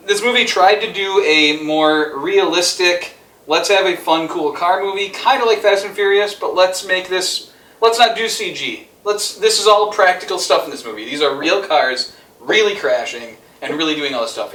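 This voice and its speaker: A man's voice: on the high side, sharp tone. A man debating casually and emphatically as a show co-host, opinionated and given to swearing. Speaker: co-host of a movie ranking show. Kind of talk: casual argumentative debate among friends. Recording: echoey room, distant from the mic.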